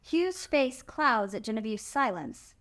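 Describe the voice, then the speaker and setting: High-voiced woman narrating a screenplay. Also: big pitch swings, measured pace, a bit bright. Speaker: narrator reading stage directions.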